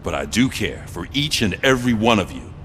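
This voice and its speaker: In a reverberant garage with vehicle noise, a stern but caring police sergeant talks in a low voice. He is earnest and plain-spoken, in video game style. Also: very animated, brisk, fairly bright tone. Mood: earnest, gruff resolve. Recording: long reverb of a garage, noisy vehicle ambience.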